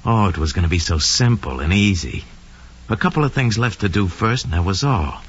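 An actor playing a smug, scheming young murderer who confesses coldly and boastfully in an old radio drama monologue. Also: male voice, deep voice, animated, a little fast, slightly rough. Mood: cold, sly. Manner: sly, cold, boastful, slangy. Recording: dull lo-fi old radio recording.

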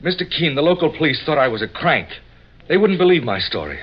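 A man's voice: on the high side, big pitch swings, brisk, slightly rough. A man acting a frightened, earnest young man in a melodramatic 1940s radio crime drama. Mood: earnest, troubled. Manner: agitated, melodramatic. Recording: muffled old radio recording.

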